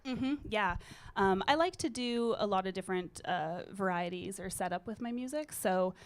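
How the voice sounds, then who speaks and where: A woman in her thirties, a singer-songwriter with a low, slightly gritty voice, chatting casually and loosely as a guest in a radio studio. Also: moderate pace, slight background noise. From British Columbia, Canada. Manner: relaxed, rambling, with frequent fillers. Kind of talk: casual interview chat. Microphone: studio microphone.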